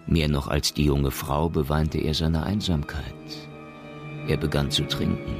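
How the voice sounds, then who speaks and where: A man's voice: very deep voice, steady tone, measured pace, a little mellow, soft background music. A man narrating a science fiction radio play in German as a scripted storyteller.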